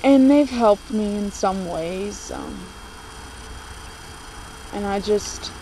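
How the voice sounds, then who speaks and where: Female vlogger, about 20, American accent. Unhurried, halting, confessional delivery on a slightly noisy laptop recording. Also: mid-range voice, dark tone. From United States, born in New Jersey, moved to Virginia. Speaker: video blogger. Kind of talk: confessional casual vlog talk. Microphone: laptop microphone.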